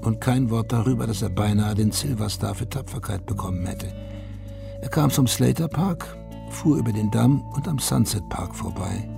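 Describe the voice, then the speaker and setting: An actor as the narrator of a melancholy radio drama, reading literary prose in a measured, wistful, gravelly way. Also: male voice, lowish voice. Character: male third-person narrator of a melancholy war story. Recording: music behind the narration. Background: music.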